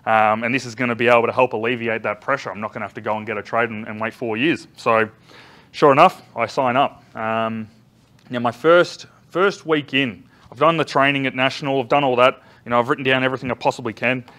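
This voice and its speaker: Australian male trainer, 30s, loud, rambling storyteller with lots of ums, speaking live in a conference room, caught on a far camera. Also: mid-range voice, fairly even intonation, fairly quick. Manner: loud, chatty storytelling with many ums and restarts. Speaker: business trainer. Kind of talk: live talk to a seated audience. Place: large conference room indoors. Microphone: far camera, no visible mic.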